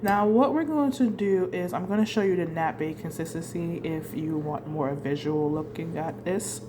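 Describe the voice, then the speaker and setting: A woman with a lowish, congested voice walks viewers through a recipe in a relaxed, talkative way. The sound is roomy and echoey, with clear background noise from the kitchen. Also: normal speed. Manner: chatty, keeps adding "right?". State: congested from a cold. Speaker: cooking channel host. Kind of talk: casual cooking tutorial. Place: home kitchen.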